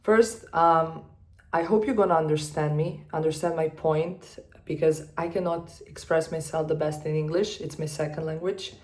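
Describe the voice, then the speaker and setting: A woman in her twenties from Serbia with a Serbian accent, speaking English as a second language in an earnest personal vlog, often pausing to find her words. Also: low voice, fairly even intonation, a little mellow. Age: 20s. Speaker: vlogger. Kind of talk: personal talk to camera.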